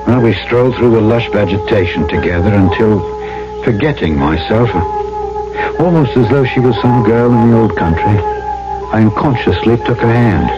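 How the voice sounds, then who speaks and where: Vintage radio drama lead: conscience-stricken trader played by a man, low register, muffled broadcast sound. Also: natural voice. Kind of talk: old-time radio drama, first-person narration and dialogue. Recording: muffled old radio broadcast, music behind.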